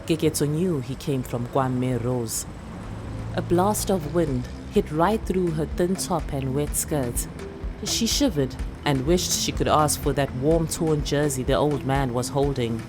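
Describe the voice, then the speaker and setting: A woman with a South African accent reading a short story as dramatic literary narration. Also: low voice.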